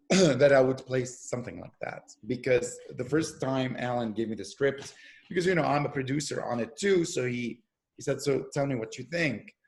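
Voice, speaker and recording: A man with a gravelly, creaky voice chats through a loose, rambling interview. He stops and restarts often and piles on fillers as he tells his stories. The room around him is noticeably echoey. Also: mid-range voice. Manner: animated storyteller with many fillers and restarts. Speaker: actor and podcast guest. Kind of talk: casual interview conversation.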